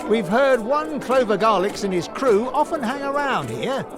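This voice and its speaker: A man's voice: very high-pitched, big pitch swings, moderate pace. A man voicing a shrewd, confident little warrior hero in a cartoon game, speaking politely and articulately. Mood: polite, resolute. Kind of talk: animated cartoon game voice acting.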